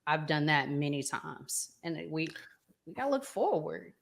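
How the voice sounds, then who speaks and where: A woman hosting a relationship podcast, speaking casually in measured, thoughtful phrases. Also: low-pitched, very animated, vocal fry. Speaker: podcast host. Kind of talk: casual conversational relationship talk.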